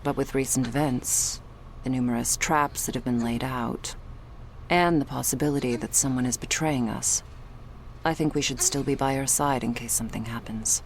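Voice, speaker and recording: Woman as very deep-voiced vampire bodyguard. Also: normal speed, a little hiss or hum. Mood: wary. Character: cool, commanding female vampire bodyguard in a crime syndicate. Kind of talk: dramatic ASMR roleplay acting.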